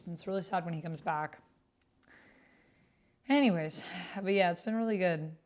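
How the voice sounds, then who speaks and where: A woman's voice: fairly low pitch, moderate pace, darkish tone. A woman hosting a livestream, chatting casually and informally with her viewers. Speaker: livestream host.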